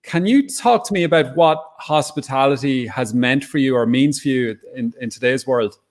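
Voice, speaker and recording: Man, event host; soft-spoken, reflective, mid-pitched. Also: normal speed. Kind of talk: hosting an online event.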